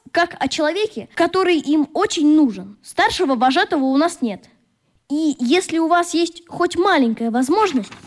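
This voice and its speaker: An actor playing an earnest, stubborn schoolboy, a loyal young troop commander, in a radio play. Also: very high-pitched.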